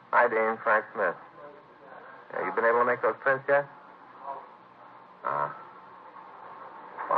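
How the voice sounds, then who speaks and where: An actor playing a plain-spoken, easygoing American police detective in a 1950s radio drama, laconic in his talk. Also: fairly low pitch. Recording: tinny, noisy vintage radio recording.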